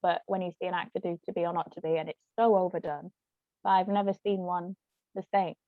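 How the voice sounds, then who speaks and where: A woman's voice: fairly low pitch, steady tone, fast talker. A woman chatting in a rambling way as a guest in a casual discussion. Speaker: guest author and actress. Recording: muffled lo-fi phone-like audio, roomy echo.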